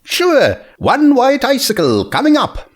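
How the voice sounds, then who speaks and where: Male reader as market mare, high-pitched, swooping, chirpy, proud, plain-spoken, storybook character. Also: wildly swooping pitch, measured pace, exaggerated voice. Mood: cheerful, proud. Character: cheerful, proud female pony market vendor.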